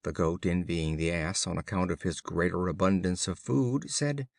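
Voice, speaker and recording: A man reading children's fables as an audiobook narrator, in a measured, formal storybook style. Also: low-pitched, gravelly, dry recording, studio quality.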